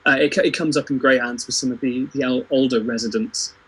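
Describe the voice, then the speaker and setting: A man talks in an unscripted group discussion. His voice is mid-pitched and husky, and he often doubles back on his phrasing as he feels out a point conversationally. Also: fairly even intonation, brisk, poor audio. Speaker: theatre company performer on a livestream panel. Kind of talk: casual unscripted panel discussion.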